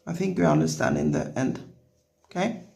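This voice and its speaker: A woman with a non-native English accent giving a relaxed watercolour lesson in short, gently encouraging phrases while she works. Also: fairly low pitch, normal speed, gravelly. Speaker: watercolour tutorial instructor. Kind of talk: relaxed painting tutorial, talking while working.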